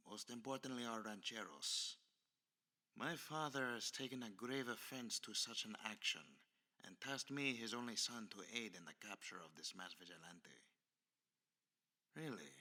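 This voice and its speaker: Man acting a suave, crisp-edged aristocratic villain. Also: medium pitch, sharp tone. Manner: formal, florid, smoothly condescending speech. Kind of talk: ASMR roleplay audio drama acting.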